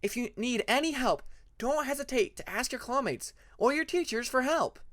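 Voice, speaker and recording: A man's voice: extremely high pitch, lively intonation, moderate pace, fairly bright tone, dry recording, clean studio sound, voice pushed up. A man voicing a kind, nurturing female dragon teacher in a fan fiction audiobook, with a welcoming manner.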